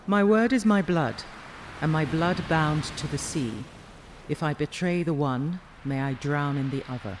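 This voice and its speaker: A man's voice: a little high, measured pace, background noise, close to an everyday voice. A man playing a formal, guarded physician in a fantasy audio drama, careful and deferential. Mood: solemn. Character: formal, guarded family physician testifying in court.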